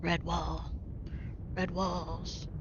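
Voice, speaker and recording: A woman streaming from home talks into her desk mic, reading game narration and chatting with viewers in a loose way, with plenty of "like" and "um." She speaks slowly in a slightly low voice. Her stream audio sounds a bit muffled, with a faint hiss underneath. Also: level tone. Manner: casual, soft-spoken, full of "like" and "um" fillers. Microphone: desk microphone.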